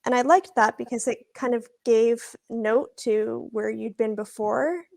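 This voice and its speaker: A woman's voice: fairly high pitch, measured pace, gravelly, a little mellow. A young woman speaking as a guest in a casual podcast conversation, thoughtful and laughing often. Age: young adult. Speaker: guest, former international school student.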